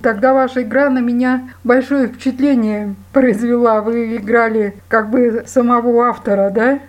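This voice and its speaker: A woman's voice: on the high side, fairly even intonation, moderate pace. A woman interviewer speaking conversationally, putting questions to a guest.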